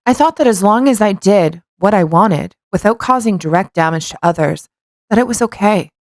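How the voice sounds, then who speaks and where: A woman's voice: mid-range voice, animated, moderate pace, no echo, clean studio sound. A woman co-hosting a casual podcast. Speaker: podcast co-host.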